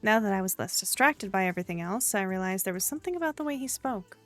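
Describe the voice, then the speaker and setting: A woman's voice: medium pitch, lively intonation, fast, faint music behind, voice pushed down, lightly acted. A woman voicing a guarded, awkward young woman who narrates her visual novel story in a subdued, wry manner. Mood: startled, pensive.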